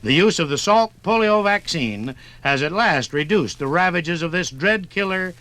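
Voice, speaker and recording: A man's voice: high voice, animated, moderate pace, pushed and bright, no room sound. An American man reading formal scripted narration for a 1950s radio documentary.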